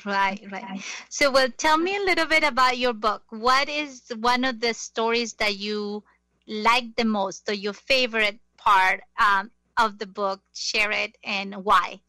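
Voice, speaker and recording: A woman's voice: a little high, a little slow, bright, forceful tone, no echo, rough recording. A middle-aged woman hosting an interview in a chatty, self-deprecating way with many fillers. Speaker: interview host. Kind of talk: casual interview chat.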